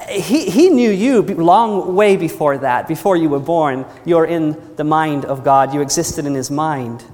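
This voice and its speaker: A man preaching a sermon in an earnest manner, often repeating his phrases and asking the congregation "Amen?". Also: sits high. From New Zealand. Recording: long hall reverb.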